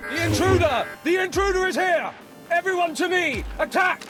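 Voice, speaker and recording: A man voicing an alarmed figure raising the alarm in a video game, urgent and yelling. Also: very high voice, animated, moderate pace, music in the background.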